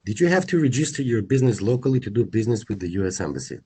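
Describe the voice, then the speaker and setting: A man's voice: lowish voice, very animated, rapid-fire, mellow, husky. A man moderating a panel politely and formally, speaking through a face mask in an office. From North Macedonia. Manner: polite and formal, reads introductions. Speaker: procurement agent, event moderator.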